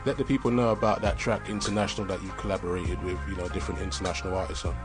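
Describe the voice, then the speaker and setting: A man's voice: deep voice, little pitch movement, racing, soft background music. A man hosting a casual radio interview in an upbeat, repetitive way. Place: radio studio.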